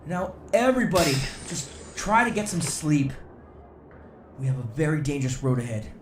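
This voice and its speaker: An actor playing a cautious senior commander in a sci-fi audio drama, measured and authoritative. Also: male voice, a little high, very animated. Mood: protective. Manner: measured, firm. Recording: echoey room, clear ambient noise.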